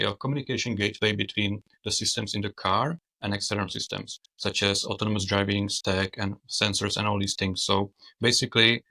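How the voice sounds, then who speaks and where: Czech guy on a video call, low gravelly voice, kinda flat, stumbles and restarts a lot. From Czech Republic. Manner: hesitant, frequent restarts and fillers. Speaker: guest, autonomous vehicle expert. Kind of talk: conversational interview.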